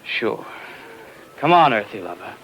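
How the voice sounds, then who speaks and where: A man's voice: fairly low pitch, big pitch swings. An actor playing a vindictive, sarcastic lieutenant who mocks his prisoner, in 1950s radio drama style. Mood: sneering menace. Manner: drawn-out, mocking, sarcastic. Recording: noisy old radio recording.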